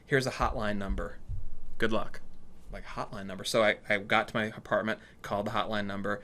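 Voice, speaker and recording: Man telling a casual, animated anecdote. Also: on the low side, a little fast. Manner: animated storytelling, acts out reported dialogue. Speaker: storyteller in conversation.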